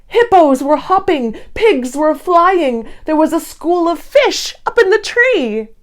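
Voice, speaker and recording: A woman's voice: very high-pitched, very animated, slow pace, pitched above the performer's own voice, heavily acted. A woman voicing a wide-eyed, imaginative young child telling a storybook adventure. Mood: amazed. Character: young child narrator full of wonder and imagination.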